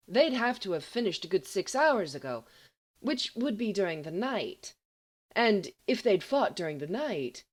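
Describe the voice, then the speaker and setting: A woman reading fiction aloud as an audiobook narrator, in an expressive scripted storytelling style. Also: lowish voice. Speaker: audiobook reader.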